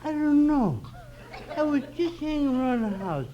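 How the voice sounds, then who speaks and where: An actor playing a goofy, slow-witted jobless drifter in 1950s radio comedy, on a vintage recording. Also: male voice, extremely high pitch, very animated, warm tone, muffled recording. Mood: dopey.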